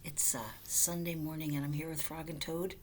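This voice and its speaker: A woman reading a children's story aloud in a gentle storytelling style. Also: low-pitched, flat delivery, normal speed, a little hiss or hum. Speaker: storybook reader.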